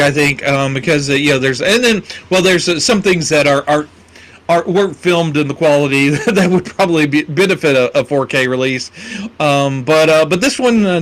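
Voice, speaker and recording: A man's voice: a little high, fairly bright tone. A man co-hosting a film podcast, talking casually with lots of fillers and restarts and frequent laughs. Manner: rambling, many fillers and restarts, laughs often. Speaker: podcast co-host.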